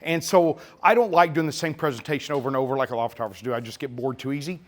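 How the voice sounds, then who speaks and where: A man's voice: mid-range voice, racing. A middle-aged man with a slurry Texas-Oklahoma drawl giving a chatty, teasing live photography talk to a full room. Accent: Texas-Oklahoma blend. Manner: joking, teasing, sarcastic, chatty. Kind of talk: live presentation to an audience. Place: camera store event room with audience.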